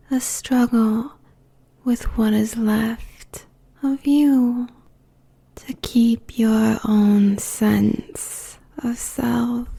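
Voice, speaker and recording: A woman's voice: a little high, vocal fry, mellow, slightly rough, full character voice. A woman playing a seductive, possessive succubus demon queen in an intimate ASMR roleplay, whispering breathily and savoring each phrase. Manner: slow, drawn-out, sultry, savoring phrases.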